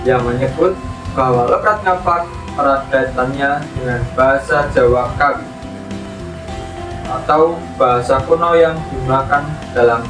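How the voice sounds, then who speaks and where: A male podcast host reads a clear, informative script in a welcoming tone, his voice pitched a little high. He sounds set back from the mic in an echoey room, with guitar music running underneath. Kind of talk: scripted narration. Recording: echoey, distant with music bed.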